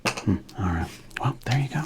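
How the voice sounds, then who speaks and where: A man's voice: lowish voice, expressive, normal speed, heavy background noise. A man casually commenting while opening packs of trading cards, with wry jokes and half-whispered asides. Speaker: card collector opening packs.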